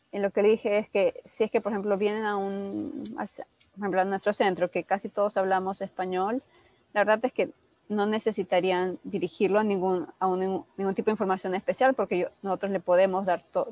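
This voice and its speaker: A woman doctor answering patients' questions on a video call, speaking haltingly with many restarts. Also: mid-range voice, little pitch movement, darkish tone, no room sound, low-quality recording. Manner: halting, many restarts, switches between English and Spanish. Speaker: doctor at a cystic fibrosis center.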